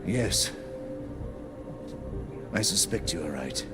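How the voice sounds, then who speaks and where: Expressive, solemn movement leader. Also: male voice, low-pitched, slow. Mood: earnest, troubled. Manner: eloquent, solemn, formal. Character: principled, embattled pacifist leader of a rights movement. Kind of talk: video game cutscene acting. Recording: loud music behind the voice.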